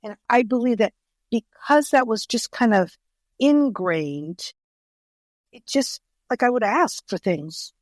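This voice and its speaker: A woman's voice: a little high, expressive, slow, dry recording, clean studio sound. An older woman whose first language is Greek, telling stories earnestly in an interview as an author guest and often restarting her words. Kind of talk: conversational interview storytelling.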